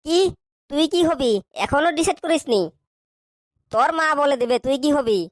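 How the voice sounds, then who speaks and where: Woman acting a bossy, scolding cartoon schoolteacher, high voice. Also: no echo, clean studio sound, lightly acted. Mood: angry scolding. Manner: scolding, hectoring, rapid questioning. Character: bad-tempered bullying schoolteacher, scolding and beating pupils. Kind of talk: comic cartoon dub.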